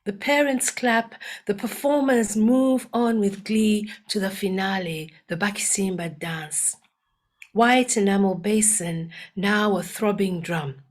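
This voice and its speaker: An older woman from Uganda, a storyteller reading her own poem aloud in a soft-spoken way. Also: mid-range voice. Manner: soft-spoken, unhurried, breaks into chanted and sung verses. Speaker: storyteller and poet.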